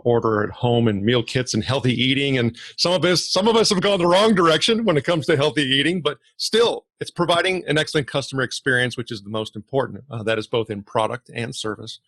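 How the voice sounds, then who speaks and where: A man's voice: medium pitch, lively intonation, fast, creaky, a bit bright, dry recording. A man hosting a podcast who talks in a loose, easygoing way and leans on "you know" a lot. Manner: filler-heavy, loose phrasing with "you know". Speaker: podcast host. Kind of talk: scripted intro then casual interview chat.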